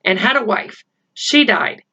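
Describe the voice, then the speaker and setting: A woman voicing an earnest, cautious young boy who explains insistently and haltingly, in a children's storybook reading. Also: mid-range voice, animated, sharp tone, husky, highs cut off, full character voice. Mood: earnest, explaining.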